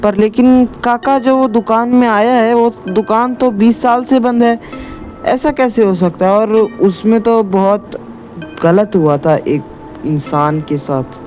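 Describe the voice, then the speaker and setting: Man doing Pappu, a high-voiced timid villager. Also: a little slow, darkish tone, faint music behind. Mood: fearful. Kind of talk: cartoon comedy story.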